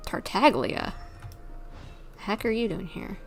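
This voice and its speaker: A woman's voice: mid-range voice, a little slow, soft background music. A woman livestreaming a game, giving casual commentary and thinking aloud informally as she plays.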